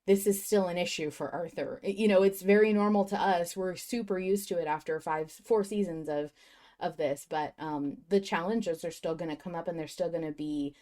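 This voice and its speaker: A woman's voice: lowish voice, moderate pace, warm tone. A woman co-hosting a podcast, talking through her thoughts in a reflective, wordy, enthusiastic way in casual fan discussion. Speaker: podcast host.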